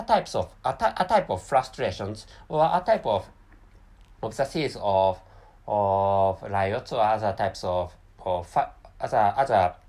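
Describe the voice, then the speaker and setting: A man speaking English as a second language, talking alone in a halting way, with many restarts and self-corrections. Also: fairly low pitch, darkish tone. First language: not English. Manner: apologetic about his English. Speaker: commentator. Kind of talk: unscripted solo monologue.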